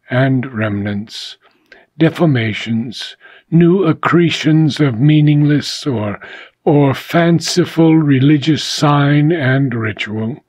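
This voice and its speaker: A man reads philosophical prose aloud in a husky, mid-pitched voice. His delivery is solemn and unhurried, with deliberate pauses separating the phrases. The sound is close and dry. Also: darkish tone, dry recording. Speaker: reader of a philosophical book. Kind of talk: scripted audiobook narration of philosophy.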